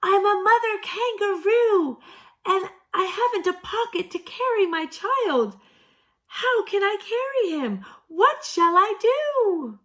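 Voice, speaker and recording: Woman doing a tearful mother kangaroo. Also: slow pace. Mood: pleading. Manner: wailing, high and pleading.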